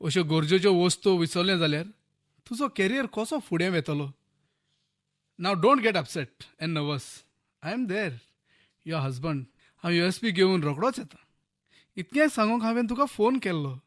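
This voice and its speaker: An actor playing a patient, helpful husband and master of the house with a Goan accent in a radio play. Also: male voice, high voice. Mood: calm, supportive. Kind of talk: Konkani radio play acting.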